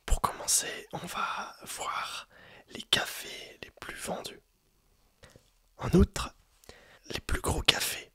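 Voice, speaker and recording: A person whispering in an ASMR roleplay as a coffee shopkeeper, speaking to the listener in a hesitant, improvised sales patter with an airy, breathy voice. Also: slow pace, long pauses, bright, forceful tone. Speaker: ASMR performer playing a coffee roastery shopkeeper.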